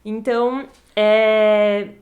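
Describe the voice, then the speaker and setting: A young woman from Brazil, a podcast guest telling her story in relaxed casual chat with lots of fillers. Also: mid-range voice, drawn-out words, phone-quality sound.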